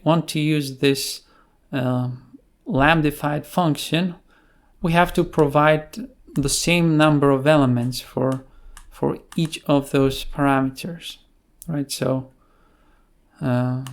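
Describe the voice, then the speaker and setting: A man presenting an unscripted screencast coding tutorial in a hesitant, halting way, with pauses between phrases. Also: a little high, fairly even intonation.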